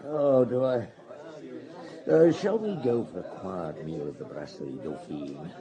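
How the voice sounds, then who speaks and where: A man acting a middle-aged, thoughtful French police superintendent in a radio drama, terse and deliberate, with musing hums. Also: low-pitched, animated, crowd noise. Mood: brisk.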